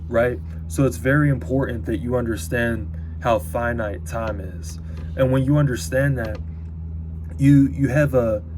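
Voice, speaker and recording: Young man vlogging over noticeable background noise. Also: medium pitch. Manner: earnest, halting, often repeating words as he thinks aloud. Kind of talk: casual motivational talk to viewers. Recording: clearly noisy, constant ambient background noise.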